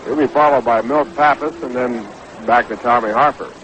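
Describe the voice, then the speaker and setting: A man doing measured, unhurried live baseball play-by-play commentary on a 1960s radio broadcast. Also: mid-range voice, fairly bright tone, highs cut off, a little hiss or hum. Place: stadium broadcast booth.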